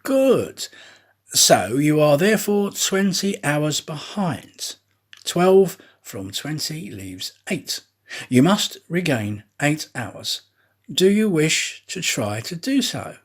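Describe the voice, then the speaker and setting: A man voicing an intent, probing detective in an audiobook, with deliberate, pointed questions. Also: on the high side, big pitch swings, pitched above the performer's own voice, exaggerated voice. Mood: urgent, conspiratorial. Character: intent, probing male detective pressing a proposal.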